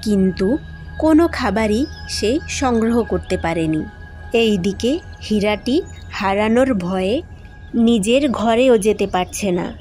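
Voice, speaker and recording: A woman's voice: mid-range voice, unhurried, pauses often, darkish tone, soft background music. A woman reading scripted fairy-tale narration as a cartoon storyteller.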